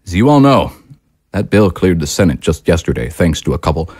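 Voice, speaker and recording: A man's voice: deep voice, very animated, brisk, a little mellow, slightly rough, dry recording, clean studio sound, heavily acted. A man playing a fervent, aggrieved patriotic political commentator in an audio drama, with an impassioned, oratorical delivery. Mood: insinuating, sardonic.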